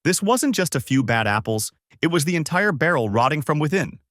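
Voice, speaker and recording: A man's voice: on the high side, big pitch swings, fairly quick, fairly bright tone, no room sound, studio quality, pitched above the performer's own voice. A man narrating a dramatic story, voicing a grave male investigator who denounces corruption. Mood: grave, indignant. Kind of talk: narrator reading story dialogue.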